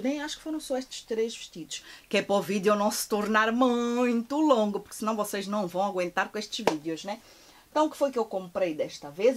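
Brief: woman in her forties, gushing haul vlogger, slightly high voice, close camera at home. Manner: chatty, gushing, many filler restarts. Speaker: fashion vlogger. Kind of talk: shopping haul vlog.

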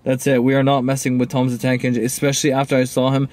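A young man reacting loudly and with excitement while flying a drone from inside a parked car, filmed by a dashboard camera. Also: mid-range voice, very fast, dry recording. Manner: exclamatory, hyped-up reactions. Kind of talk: excited drone vlog commentary.

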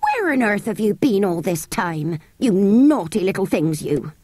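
A woman's voice: mid-range voice, big pitch swings, unhurried, darkish tone, breathy edge, dry recording. A woman voicing a stern, indignant nursemaid who scolds emphatically in a storybook reading. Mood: angry scolding. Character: scolding, scornful English nursemaid, sharp and indignant.

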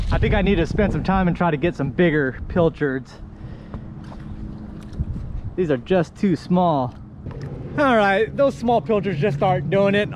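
A middle-aged man giving casual running commentary to himself while kayak fishing, with lots of exclamations, recorded on an action camera out on the water. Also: sits high. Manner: chatty, exclamatory, talks to himself. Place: kayak on open water outdoors. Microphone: action camera mounted on kayak. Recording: action camera outdoors with wind and water noise. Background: wind and water noise.

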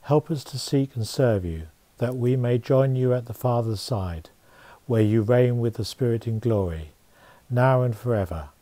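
A man reciting formal liturgical prayers with measured phrasing. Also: medium pitch, warm tone, dry recording.

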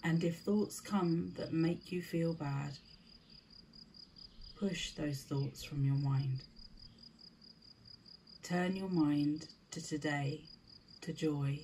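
Slow, low-voiced woman guiding meditation. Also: mellow, slight background noise. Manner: soothing, deliberate, with short spaced phrases. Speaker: guided meditation host. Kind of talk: scripted guided meditation.

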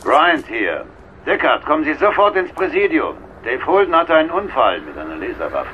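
A man's voice: fairly high pitch, wildly swooping pitch, normal speed. A man playing a brusque police inspector speaking through a radio call filter in a radio drama. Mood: urgent, curt. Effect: radio or phone filter. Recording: dull filtered call, faint noise.

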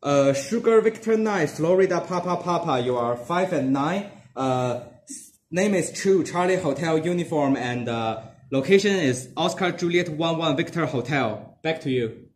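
A young man works a ham radio, spelling out call signs. His voice sits a little high, picked up in a very roomy space with faint background noise. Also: lively intonation, normal speed. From Singapore. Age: young adult. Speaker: amateur radio operator. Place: small dark room indoors.

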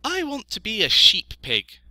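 A man voicing an eager young male piglet in a storybook, gentle and very courteous. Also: sits high, wildly swooping pitch, a little slow, no room sound, pitched above the performer's own voice, full character voice. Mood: earnest.

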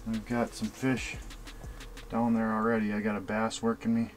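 Excited man narrating ice fishing. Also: on the low side, normal speed. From Wisconsin. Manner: excited, talks to the fish on camera. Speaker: fishing vlogger. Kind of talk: casual outdoor fishing commentary. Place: ice fishing shack on a frozen lake in an ice storm.

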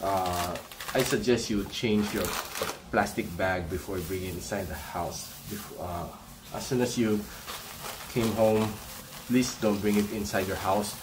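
Slow, halting Filipino man vlogging. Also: lowish voice. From Philippines. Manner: halting, explanatory. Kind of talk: casual how-to vlog demonstration. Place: outdoor paved yard or garage at home. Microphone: handheld phone camera. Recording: clear ambient noise on phone video.

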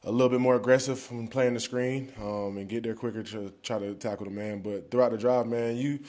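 A young man with a mid-pitched, mellow voice talks loosely at a podium mic in a press room. He answers post-game questions conversationally, sprinkling "man" and "you know what I mean" through his lines. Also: steady tone, fairly quick. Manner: casual, conversational, drops "man" often. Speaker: football player. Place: press conference room, indoors. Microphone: podium mic in front.

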